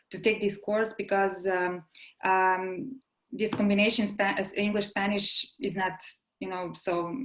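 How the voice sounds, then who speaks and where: A woman from Serbia with a Serbian accent, a translator and interpreter introducing herself to a class, pausing often with filled pauses. Serbian is her first language. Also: mid-range voice. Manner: hesitant, many filled pauses. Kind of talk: self-introduction in an online class.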